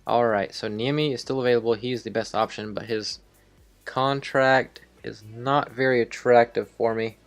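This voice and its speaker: A man's voice: mid-range voice, steady tone, normal speed, soft background music. A man hosting a video game series, thinking aloud in casual, rambling commentary with some offhand swearing. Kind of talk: casual improvised gameplay commentary.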